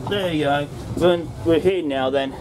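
A man on a train, chatting with a friend and ending his remarks with tag questions. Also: moderate pace. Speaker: railway enthusiast filming the trip. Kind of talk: casual chat. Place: heritage train carriage, indoors. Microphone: handheld phone camera. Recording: loud train rumble behind.

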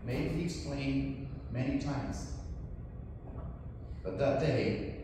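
A Salvadoran missionary in his forties with a Spanish accent, telling his testimony in a church hall on a handheld mic. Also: medium pitch. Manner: earnest, slow storytelling with light laughs. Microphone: handheld microphone picked up from afar. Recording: echoey hall, distant camera, noise.

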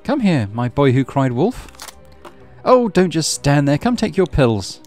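A man voicing a stern, weary mother with a sharp, exasperated, accusing manner in a visual novel. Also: a little high, sing-song, measured pace, faint music behind, pitched above the performer's own voice.

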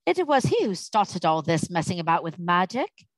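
A woman voicing a sensible, sharp-tongued young schoolgirl who speaks with cold, indignant sarcasm in a storybook reading. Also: on the low side, very animated, moderate pace, dry recording. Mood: cool.

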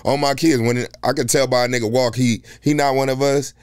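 A man in his thirties, a podcast guest, talking in a laid-back, streetwise, slangy way into a boom mic on a studio set. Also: steady tone, brisk, clear, resonant tone, dry recording. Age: 30s. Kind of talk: casual comedic chat. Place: studio set with red curtains. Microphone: boom mic on arm.

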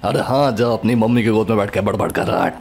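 A man's voice: lowish voice, quick pace. A man voicing a boozy, washed-up thunder god in an amateur film dub, with a boastful, drawling delivery. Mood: threatening. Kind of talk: amateur Hindi film fandub acting. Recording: clear background noise with film ambience.

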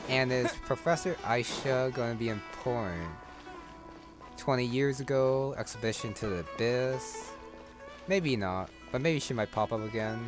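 A man giving casual, offhand gameplay commentary, muttering as if to himself. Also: medium pitch. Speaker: gaming video creator. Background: game music.

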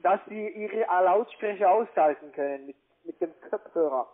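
A man with a foreign accent in German, a non-native speaker who argues as a call-centre caller in halting, repetitive, badgering broken sentences. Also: high voice. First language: not German. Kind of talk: argumentative phone conversation. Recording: narrow, tinny phone line.

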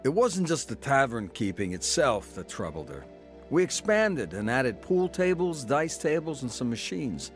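Male actor as radio drama narrator, grown son, plain-spoken, matter-of-fact, medium pitch, musical backing. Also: sing-song, normal speed, over music, close to an everyday voice. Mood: reflective. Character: adult son narrating his crooked youth and war years. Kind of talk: scripted first-person radio drama narration.

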